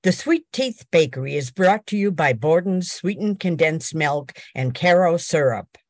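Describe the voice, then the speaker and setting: An older woman narrates a radio play as announcer. Her voice is low with lively intonation, reading from a script in a dry, close sound. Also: measured pace, no echo.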